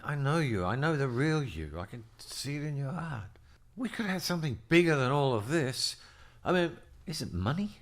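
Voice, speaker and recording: A man's voice: a little high, sing-song, normal speed. A man playing an earnest, imploring townsman in an audio drama. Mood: pleading. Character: earnest male townsman reasoning with a villain.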